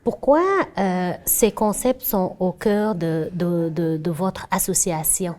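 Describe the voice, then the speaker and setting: A woman's voice: on the low side, fairly even intonation, slow, warm tone. A woman with a Quebec French accent, a professor hosting an interview, welcoming with frequent fillers.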